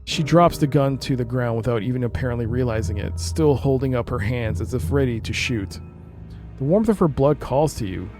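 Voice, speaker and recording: A man's voice: medium pitch, expressive, brisk, darkish tone, soft background music. A man streaming a game, reading its narration aloud and adding casual commentary.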